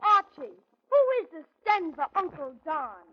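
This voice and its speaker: Squeaky, haughty little boy. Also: lively intonation, a little slow. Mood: haughty, skeptical. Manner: overly formal, pert. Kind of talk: 1940s radio comedy acting. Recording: dull, noisy 1940s radio recording.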